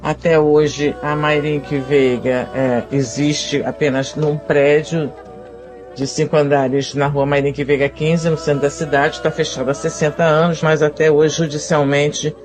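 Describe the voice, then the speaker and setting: A man's voice: fairly high pitch, flat delivery, a little slow, darkish tone. A Brazilian man giving an informal spoken explanation in plain conversational sentences. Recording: music clearly behind the voice.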